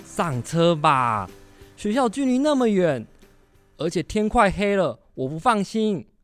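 A middle-aged dad, played by a man in a family radio drama, nagging and worried. His delivery swings widely in pitch, exasperated and insistent, with faint music underneath. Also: very animated, measured pace, fairly bright tone, heavily acted.

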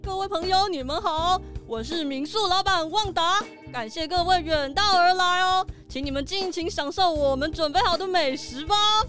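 An eager little puppy innkeeper welcomes guests courteously in an extremely high voice. It is a children's audio drama with clear music behind the speech. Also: female voice, moderate pace, few pauses. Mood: welcoming. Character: young polite puppy innkeeper, eager and hospitable.

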